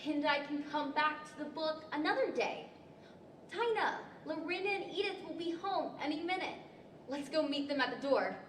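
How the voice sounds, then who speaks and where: A woman's voice: high-pitched, expressive, normal speed, fairly bright tone, slight background noise. A woman playing an earnest, polite storybook girl in a 1940s radio-style stage play. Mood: grateful, bright. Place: large library event room, standing microphones. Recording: echoey hall, distant microphone.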